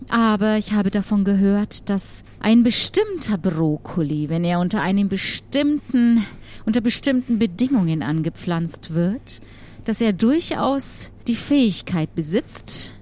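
Witch played by a woman: grave, deliberate, protective of her ghosts; improvised audio adventure. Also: a little high, a little mellow.

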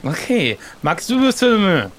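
Man acting out smug pirate cook, chili-obsessed, higher male voice, drawn-out theatrical phrasing, improvised audio play. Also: huge pitch swings, a little hiss or hum, exaggerated voice. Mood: offering, eager. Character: self-satisfied peg-legged male pirate cook hungry for chili.

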